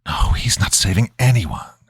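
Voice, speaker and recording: A man's voice: fairly low pitch, lively intonation, normal speed, slightly rough, no room sound. A male narrator performs a sadistic demon possessing a girl in a horror tale. The voice is guttural and whispery, swinging widely between growls and sing-song taunts, all captured close and dry. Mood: mocking.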